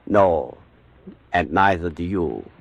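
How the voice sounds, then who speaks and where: An actor playing a defiant, boastful captured German U-boat officer with a German accent, smug and evasive, halting over his words. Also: deep voice, animated, dry recording, dull audio, heavily acted. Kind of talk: 1940s radio acting.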